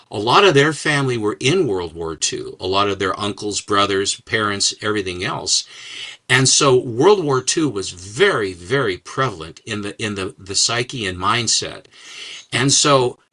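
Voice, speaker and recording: An older man talks about his past in a relaxed interview, often stopping and restarting his sentences. His voice sits low but sounds bright and forceful. He wanders from thought to thought in a casual, unhurried way. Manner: rambling, halting, with many restarts and fillers. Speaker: guest, comics writer and collector.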